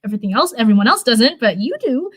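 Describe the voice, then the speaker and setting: A woman's voice: on the high side, big pitch swings, fast talker, breathy edge, no room sound. A woman reading a story aloud as narration, now and then stumbling over a word and going back over it. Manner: stumbles over words and rereads them. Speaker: live stream host reading fan fiction.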